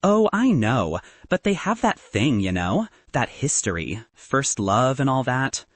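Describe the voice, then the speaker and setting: Casting: man as gossipy young female friend in audiobook, insinuating, nonchalant, animated intonation, slightly husky. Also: mid-range voice, a little slow, dry recording. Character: gossipy, needling young woman stirring the pot.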